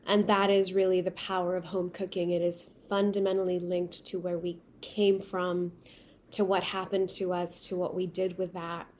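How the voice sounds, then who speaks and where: A woman's voice: on the low side, level tone, normal speed, a little mellow, slight background noise. A woman from Pakistan whose first language is Urdu, a company founder speaking as a guest in a remote interview and telling her story with frequent restarts. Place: remote call from home.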